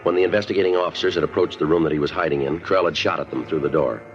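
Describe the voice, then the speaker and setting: A man's voice: low-pitched, rapid-fire, soft background music, lightly acted. An American man playing a terse, no-nonsense police detective who also narrates, clipped and matter-of-fact, in a 1950s radio crime drama. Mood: flat, businesslike. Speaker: actor. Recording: muffled vintage 1950s radio recording.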